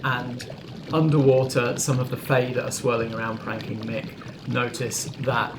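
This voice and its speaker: A man's voice: medium pitch, level tone, moderate pace, background noise. A man improvising descriptive narration as the game master of a tabletop roleplaying game, addressing players directly.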